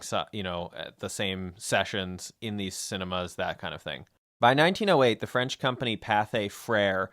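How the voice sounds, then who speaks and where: A man with a fairly low, smooth voice hosts a history podcast. He explains in a measured, partly scripted way, dropping in small qualifying asides. The sound is dry and close, with no room around it. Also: fairly bright tone, dry recording. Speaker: podcast host.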